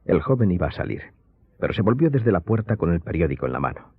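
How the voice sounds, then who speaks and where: A man narrating a radio novel in a measured, literary, dramatic storytelling style. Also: deep voice, big pitch swings, breathy edge, no room sound. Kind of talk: dramatic scripted audiobook narration. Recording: muffled audio under prominent music.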